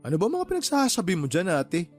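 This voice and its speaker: Frank younger brother, slightly high. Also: male voice, huge pitch swings, quick pace, soft background music, heavily acted. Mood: reproving. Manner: frank, chiding, matter-of-fact. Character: frank, reproving younger brother, self-made and sensible. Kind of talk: Filipino radio drama dialogue.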